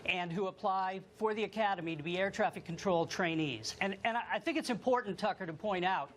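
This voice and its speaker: Careful, formal American man, higher-pitched recording. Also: brisk, slight background noise. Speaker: government lawyer interviewed as guest. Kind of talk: formal explanatory television interview.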